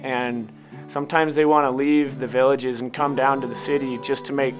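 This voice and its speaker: A man's voice: medium pitch, flat delivery, moderate pace, rough recording. An American man telling a personal story in a casual, unscripted and loosely rambling way, as if to an interviewer. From Utah, United States. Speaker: coffee company founder telling its story.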